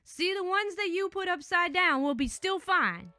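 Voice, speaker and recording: A woman's voice: extremely high pitch, expressive, moderate pace, pushed and bright, no echo, soft background music, pitched above the performer's own voice, exaggerated voice. A woman voicing a bossy, brusque male internal auditor who brushes off every concern. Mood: insistent. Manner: curt, dismissive.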